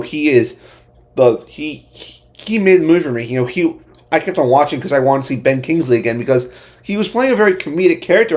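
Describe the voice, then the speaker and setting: A man giving a casual, unscripted movie review as an amateur host, speaking in a halting, repetitive way with many verbal tics and emphatic opinions. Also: fairly high pitch, a little mellow.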